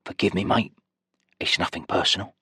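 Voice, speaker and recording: A man reading an audiobook voices a scheming male prisoner who fakes panic in a high, trembling voice. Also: moderate pace, pushed and bright, husky, dry recording, studio quality, full character voice. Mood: quiet, muttering. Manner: terrified pleading. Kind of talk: audiobook character voice.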